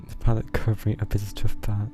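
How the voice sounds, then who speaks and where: A man's voice: fairly low pitch, completely flat delivery, quick pace. A man giving casual live game commentary in dry, muttered asides. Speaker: game streamer. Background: game music.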